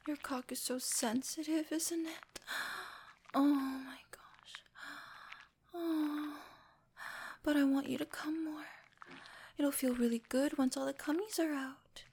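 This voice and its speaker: A woman's voice: high voice, steady tone, slow, long pauses, darkish tone. A woman whispering breathily in an intimate adult ASMR roleplay, with a soothing, coaxing, affectionate manner.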